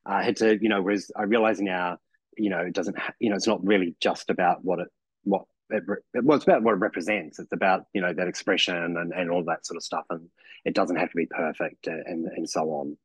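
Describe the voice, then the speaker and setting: Picture a man in his forties with a New Zealand accent, talking in a loose, meandering way that is full of fillers and false starts. His voice sits fairly low. The sound is dry and close, with little room in it. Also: steady tone, a little fast, slightly rough, no room sound, rough recording. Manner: rambling, full of "you know" and restarts. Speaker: podcast guest. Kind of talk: casual chat.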